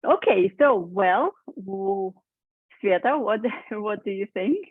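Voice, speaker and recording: A woman's voice: medium pitch, animated, dry recording, phone-quality sound. Chatty woman on a thin online call. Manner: chatty, quick to laugh, draws others in. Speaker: conversation club leader. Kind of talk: casual group chat in English.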